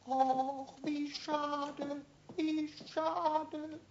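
A cheeky little kobold, greedy and stubborn, in a children's radio play. The voice is high and childlike, pleading and boasting at an unhurried pace. The old recording sounds dull. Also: female voice, flat delivery, noisy background, exaggerated voice. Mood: teasing.

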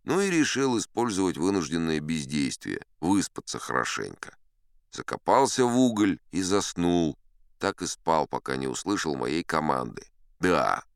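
An actor playing a seasoned, unflappable sea captain who spins tall tales in a chatty, wry, theatrical audiobook manner. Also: male voice, low-pitched, big pitch swings, moderate pace, vocal fry, smooth, dry recording, studio quality, heavily acted. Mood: animated, confiding. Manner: conversational, wry, leisurely asides to the listener. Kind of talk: theatrical audiobook storytelling in first person.

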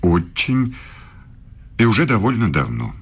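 A very low male voice, a middle-aged professor in a radio play. He courts in formal, measured phrases, intimate and insinuating. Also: big pitch swings, husky, heavily acted. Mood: seductive, teasing. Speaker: radio drama actor.

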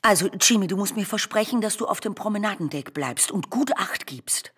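Fretful loving mom talking to her little boy, husky, radio play. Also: medium pitch, lively intonation, brisk, fairly bright tone. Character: fretful, affectionate mother of a small boy. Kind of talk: radio drama acting.

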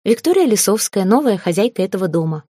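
A woman's voice: mid-range voice, very animated, quick pace, mellow, husky, dry recording, clean studio sound. A woman voicing a stubborn, plain-spoken young heroine newly made an aristocrat, in a fantasy storybook. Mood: amused, polite.

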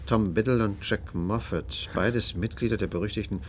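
An actor playing a skeptical, impatient police inspector in a German radio play, blunt and questioning. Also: male voice, fairly low pitch, moderate pace, slight background noise, lightly acted. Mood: reading aloud.

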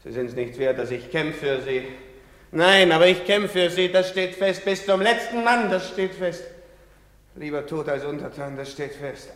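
High, swinging-voiced drunken bullying soldier. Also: male voice, animated, normal speed, a bit bright. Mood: drunken, defiant. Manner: slurred, repetitive drunken bluster with laughing and outbursts. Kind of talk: theatrical stage acting. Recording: echoey theatre hall, long reverb.

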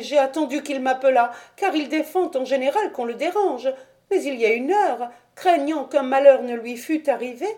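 A woman's voice: high-pitched, warm tone, voice pushed up, lightly acted. A woman reading aloud voices an anxious old housekeeper in a tearful, pleading way.

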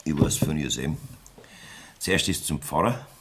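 Lecherous, boastful Bavarian farmer in his fifties; very low husky voice, swinging delivery, radio play. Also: lively intonation, moderate pace, background noise. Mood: defiant, vengeful. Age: middle-aged. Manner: boastful, coarse, earthy. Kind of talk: dialect radio drama acting.

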